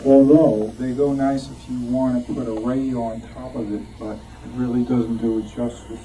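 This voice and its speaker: A man's voice: mid-range voice, flat delivery, moderate pace, dark tone. A man giving an informal show-and-tell talk to a club, hesitant and often searching for words. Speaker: club member presenting collection. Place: club meeting room with audience. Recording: distant muffled room mic, background noise.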